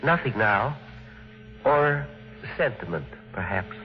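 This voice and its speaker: Sentimental spaceship inventor, vintage radio drama. Wide-swinging intonation, wistful, muffled old recording. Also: male voice, mid-range voice, animated, takes it slowly, soft background music. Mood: wistful, resolute. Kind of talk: 1950s radio drama acting.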